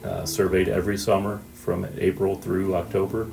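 Low gravelly man explaining fisheries work. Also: level tone, a little mellow, faint music behind, low-quality recording. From Northwest Ohio. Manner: measured, with frequent "uh" and restarts. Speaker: fisheries program administrator. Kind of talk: interview answers.

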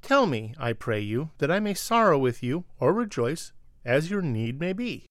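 A woman's voice: low-pitched, sing-song, moderate pace, no room sound, clean studio sound, pitched below the performer's own voice. A woman doing a solemn wandering man. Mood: questioning. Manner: solemn, old-fashioned speech. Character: sorrowful man traveler, middle-aged, solemn.

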